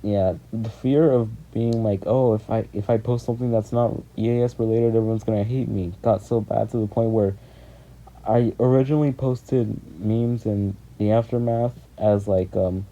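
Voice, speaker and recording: A man's voice: fairly low pitch, fairly even intonation, normal speed, dark tone. A man talking casually to his viewers as a video creator, rambling hesitantly and often repeating himself. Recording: muffled lo-fi mic with clear background noise.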